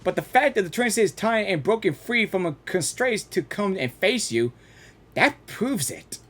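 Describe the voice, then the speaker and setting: A man's voice: high-pitched, expressive, normal speed, fairly bright tone. A man giving casual, informal reaction commentary as a gaming streamer, rambling and repeating himself.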